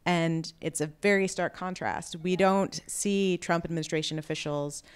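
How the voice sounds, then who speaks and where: A woman's voice: lowish voice, fairly even intonation, moderate pace, clear, resonant tone. A woman in her thirties moderating an on-stage interview, putting questions into a handheld microphone. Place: auditorium stage. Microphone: handheld microphone held at chest.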